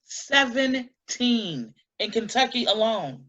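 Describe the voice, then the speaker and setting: A woman's voice: a little high, big pitch swings, takes it slowly, fairly bright tone, full character voice. Dull-sounding, defiant activist woman played by an actress. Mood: firm, defensive. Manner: blunt, combative. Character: defiant, principled woman animal-rights activist. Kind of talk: staged play reading.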